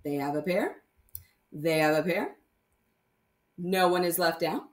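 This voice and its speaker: A woman teaching primary math to young children, reading aloud and explaining deliberately with sing-song emphasis on key words. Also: on the low side, reverberant.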